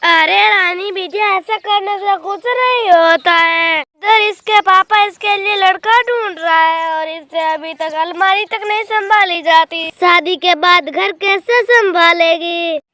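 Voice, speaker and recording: A woman's voice: extremely high pitch, unhurried, bright, forceful tone, exaggerated voice. A woman voicing a middle-aged, exasperated mother bird in a cartoon story, scolding and lecturing with affectionate words. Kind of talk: Hindi cartoon story dialogue.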